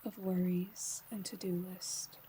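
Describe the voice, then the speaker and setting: A woman guiding a slow meditation in a soft, breathy voice, often near a whisper, calm and soothing, with long pauses. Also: on the low side, slight background noise. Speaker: yoga nidra guide.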